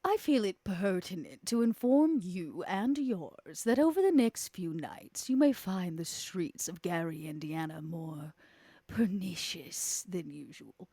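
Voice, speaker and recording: A woman's voice: fairly low pitch, animated, mellow, breathy edge, no echo, full character voice. Woman playing a haughty, theatrical vampire lady, dry sound. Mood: haughty, menacing. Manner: measured, pompous, theatrical. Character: elegant, haughty woman vampire schemer, dramatic and superior.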